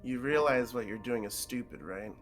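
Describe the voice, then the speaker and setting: Guy doing a blunt judgy young dude in a visual novel, terse needling questions, music playing loud behind. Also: medium pitch, normal speed, close to an everyday voice. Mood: disapproving. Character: blunt, judgmental young man confronting a friend. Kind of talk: visual novel character read aloud.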